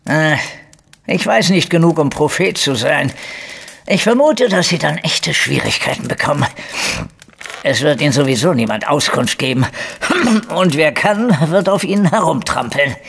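A raspy, sharp-edged male voice with plenty of gravel, fairly high for a man. a tired, gruff investigator in a crime audio drama, blunt yet evasive. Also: expressive, moderate pace, exaggerated voice. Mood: gruff, warning. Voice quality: gravelly, husky. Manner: blunt, hesitant, throat-clearing.

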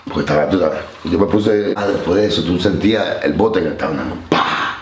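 A Cuban man in his forties with a Cuban Spanish accent, telling stories in a relaxed way and mixing in English slang. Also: low-pitched, fairly quick, echoey room, slight background noise. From Cuba. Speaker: interview guest. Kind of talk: storytelling interview. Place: studio set, seated on couch.

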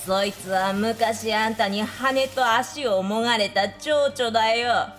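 A woman's voice: a little high, slow pace, a bit bright, faint music behind. An actress playing a sinister old swamp witch who gloats, in a radio drama. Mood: gloating.

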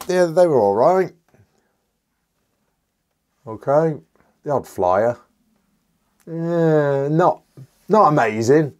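A man, reviewing an air rifle in an enthusiastic, chatty way while hoarse and a bit stuffy from a cold. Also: mid-range voice, darkish tone. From United Kingdom. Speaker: airgun reviewer. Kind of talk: casual product review.

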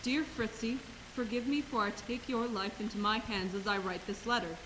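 Young woman playing an earnest, high-voiced Mother Superior. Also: fairly even intonation, normal speed, lightly acted. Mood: solemn, grieving. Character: resolute, compassionate Mother Superior of a convent. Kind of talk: amateur stage acting in a high school play. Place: theater stage, indoors. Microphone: distant camera. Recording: hissy stage recording from audience camera.

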